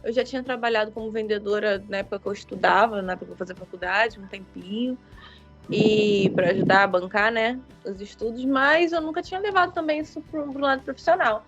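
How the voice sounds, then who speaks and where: A woman's voice: medium pitch, brisk, faint music behind. Chatty Carioca woman, dull lo-fi sound. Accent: Carioca Brazilian Portuguese. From Rio de Janeiro, Brazil. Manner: rambling, many fillers and self-corrections. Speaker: guest writer. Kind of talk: casual interview chat.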